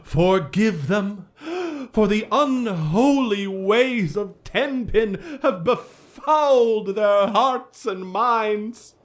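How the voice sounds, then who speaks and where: An actor playing a pious, condescending evangelical preacher in a melodramatic 1950s radio drama, quoting scripture in a pompous, theatrical way. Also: male voice, high voice, animated, very slow, heavily acted. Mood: fervent praying.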